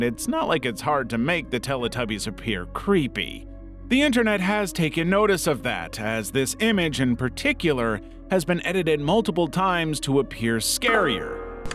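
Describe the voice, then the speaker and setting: A man's voice: medium pitch, a bit bright. A man reading scripted narration in the role of an online video narrator. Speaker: narrator of internet video countdown.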